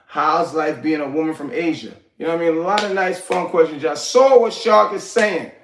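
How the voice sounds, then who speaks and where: A man's voice: fairly high pitch, brisk, a little hiss or hum. Hyped New streamer man, echoey room. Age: 40s. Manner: hyped shout-outs, rambling, streetwise slang. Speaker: livestream host. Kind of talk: casual livestream chat with viewers.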